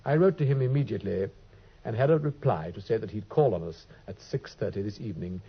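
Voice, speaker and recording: An actor playing a brilliant, dryly teasing detective in a vintage radio drama, speaking with crisp, precise wit. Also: male voice, fairly low pitch, quick pace. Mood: wry. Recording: dull old radio recording.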